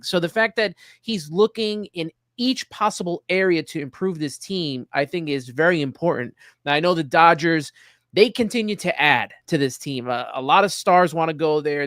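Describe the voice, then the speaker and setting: A man with a somewhat high voice, recorded close and dry. He hosts sports talk in a conversational, analytical style. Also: a bit bright, dry recording. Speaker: sports show host.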